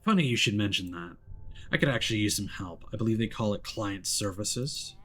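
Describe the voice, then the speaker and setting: Man playing a low, sardonic weary detective. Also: expressive, fairly quick, a bit bright, faint music behind. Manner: terse, dry, sardonic. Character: gruff, weary, sardonic male paranormal detective. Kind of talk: visual novel character voice.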